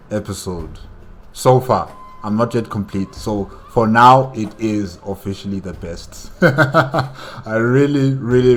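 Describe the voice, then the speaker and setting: Male reaction host, low voice, unhurried and rambling, soft music in the background. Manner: rambling, many fillers and laughs. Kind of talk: casual video reaction.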